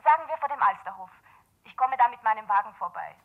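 A woman's voice: on the high side, lively intonation, fast. An actress playing a poised, purposeful businesswoman, polite and businesslike, heard through a telephone filter in a 1970s German radio crime drama. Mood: composed, purposeful. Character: poised, purposeful businesswoman, a fashion studio owner. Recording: tinny, narrow telephone line.

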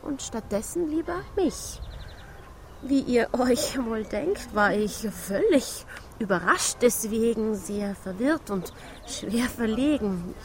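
A woman's voice: fairly high pitch, lively intonation, slow, a little mellow, slight background noise. An actress playing a defensive, embarrassed wife and cleaning woman, earnest and hesitant, in a rhymed radio drama. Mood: confessing. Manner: earnest, hesitant, self-justifying.

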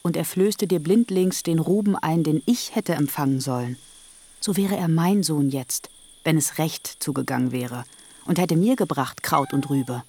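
An actress playing Rahel, a childless younger sister who pleads fervently and coaxes, in a radio drama. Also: female voice, sits low, normal speed, darkish tone. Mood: pleading.

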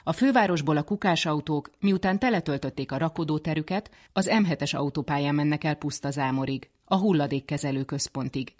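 A woman's voice: low-pitched, few pauses, darkish tone. A woman reporter reading scripted narration for a radio feature.

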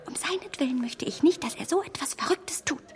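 An actress playing a strong-willed, self-assured young heroine who talks emphatically in a hushed voice, in a radio play. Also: female voice, high voice, lively intonation, fairly quick, whispered. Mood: indignant.

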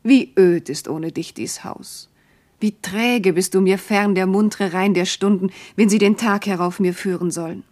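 Devoted noble wife played by a woman, lyrical, mid-pitched. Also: measured pace, a little mellow, lightly acted. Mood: yearning. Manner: earnest, lyrical declamation. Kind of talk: classical verse radio drama acting.

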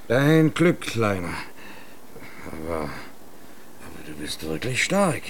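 An actor playing a shrewd, stingy farmer with a coaxing manner in a children's radio play. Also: male voice, fairly low pitch, big pitch swings, slow pace, a little hiss or hum. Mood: shrewd, bargaining. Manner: calculating, coaxing. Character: shrewd, stingy farmer, falsely kindly husband.

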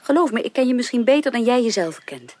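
A woman's voice: a little high, expressive, fast, darkish tone. An actress playing a proud, candid young upper-class woman in a radio drama, speaking formally and firmly. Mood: firm, earnest. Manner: formal, firm, reproachful. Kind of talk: 1980s Dutch radio drama acting.

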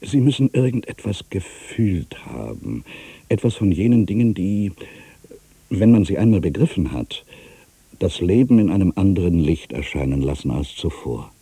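An actor playing a melancholy writer in his fifties, musing haltingly to himself in a radio play monologue, with a gravelly, husky voice. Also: deep voice, big pitch swings, mellow, no echo, heavily acted. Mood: wistful, animated. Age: 50s. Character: aging melancholy male writer back in his hometown.

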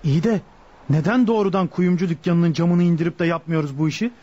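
Man acting a high, husky, brash henchman. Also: fairly quick, mellow, close to an everyday voice. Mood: brash, doubting. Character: brash, doubting male burglar henchman, hot-headed and dim. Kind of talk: radio drama acting. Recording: dull, muffled radio play recording.

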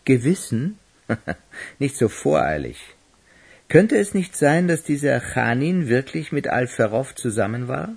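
A man's voice: medium pitch, very animated, a little slow, creaky, a little mellow, breathy edge, exaggerated voice. A man playing a cynical, sneering criminal accomplice in a radio crime drama, sarcastic and theatrical. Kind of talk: German radio play acting.

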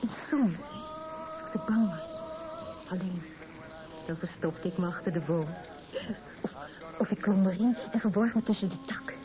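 An actress playing a young pregnant wife in a radio play, dreamy, tender and wistful, speaking in an intimate, reflective way. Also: female voice, mid-range voice, normal speed, pauses often, over music, lightly acted. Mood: dreamy, nostalgic. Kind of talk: naturalistic radio play dialogue. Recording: thin phone-like old radio recording.

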